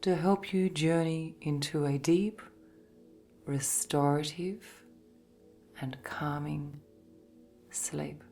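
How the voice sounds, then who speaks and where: A woman gently narrating a guided sleep meditation in a soft, breathy, soothing voice, with measured phrases and long pauses. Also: sits low, steady tone. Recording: voice over background music. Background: meditation music.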